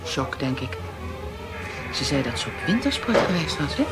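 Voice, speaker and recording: A woman playing a brisk police inspector in a naturalistic radio drama, matter-of-fact and conversational. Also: sits low, sing-song, loud music behind the voice. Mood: calm, official. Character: brisk female police inspector, married, living in countryside.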